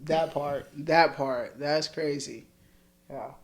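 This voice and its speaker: An American woman with a deep voice, talking in a casual, reflective and informal way. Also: slow, a little hiss or hum. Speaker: podcast conversation partner.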